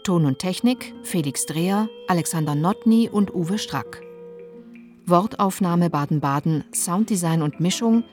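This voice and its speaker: A woman announcing the title and cast of a radio drama. Her voice is slightly low, neutral and formal, reading scripted credits over faint music. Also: unhurried, darkish tone.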